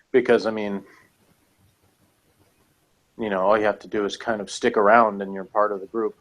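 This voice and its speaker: A man's voice: on the low side, level tone, moderate pace, long pauses, a bit bright. A man in a casual review chat, rambling and hedging as he argues his points as a co-host. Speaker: podcast co-host reviewing TV episodes.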